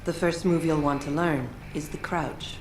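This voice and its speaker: A woman voicing a calm, impersonal facility computer that gives announcements and instructions in a video game. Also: low-pitched, moderate pace, background noise, natural voice. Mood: instructive. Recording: echoing announcement with music behind.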